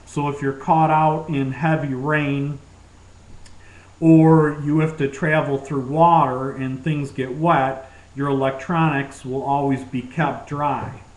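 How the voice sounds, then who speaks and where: A man presents gear in an informal demonstration, speaking slowly in a slightly high-pitched voice. He sounds keen to recommend what he shows. The recording has a clear roomy echo. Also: flat delivery.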